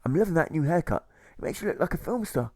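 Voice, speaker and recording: A man voicing a gentle, friendly prisoner in an audiobook, speaking in a kindly, coaxing, persistently cheerful way. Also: fairly high pitch, expressive, quick pace, no echo, clean studio sound, pitched above the performer's own voice. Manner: pleading, kindly, repeats words.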